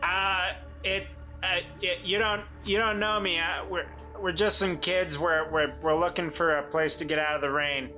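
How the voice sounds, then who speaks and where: A man playing a wry, weary but determined man turned weasel, speaking haltingly in a tabletop roleplay. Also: sits high, steady tone, pushed and bright, faint music behind, pitched above the performer's own voice, rough recording. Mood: nervous coaxing. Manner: halting, stammering.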